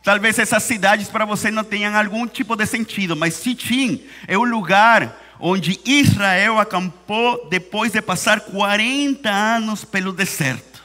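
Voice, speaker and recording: A man from Venezuela with a Spanish accent, preaching a sermon in Portuguese, slowing down and repeating key phrases for emphasis. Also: high-pitched, pushed and bright. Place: church hall. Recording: church hall reverb.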